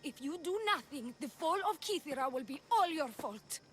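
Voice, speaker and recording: A high-voiced, imperious priestess. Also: female voice, animated, moderate pace, fairly bright tone, a little hiss or hum. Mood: desperate, commanding. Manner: formal, commanding, theatrical. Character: proud, imperious ancient Greek high priestess, fiercely dramatic. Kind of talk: video game cutscene acting.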